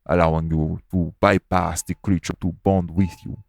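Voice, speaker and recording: A man acting a formal, patient male monster doctor with measured, stern speech in an audio roleplay. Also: deep voice, level tone, vocal fry, darkish tone, slight background noise.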